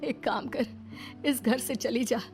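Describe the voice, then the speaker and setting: A woman's voice: a little high, fairly even intonation, takes it slowly, darkish tone, soft background music, pitched above the performer's own voice. A woman voicing a kind, long-suffering older mother-in-law giving earnest advice in a cartoon story. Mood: sad, caring.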